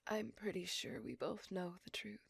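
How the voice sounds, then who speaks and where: Woman acting as a possessive female vampire lover, very breathy whisper, creaky edges, intimate ASMR roleplay, melancholy, seductive. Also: medium pitch, no echo, exaggerated voice. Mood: obsessive, seductive. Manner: intimate, drawn-out, dramatic delivery.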